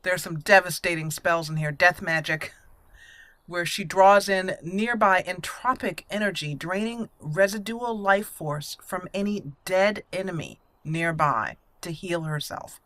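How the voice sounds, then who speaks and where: A woman giving casual, roleplay-minded let's play commentary, thinking aloud with hesitant pauses as she hosts. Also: fairly low pitch, a bit bright, no room sound. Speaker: gameplay host.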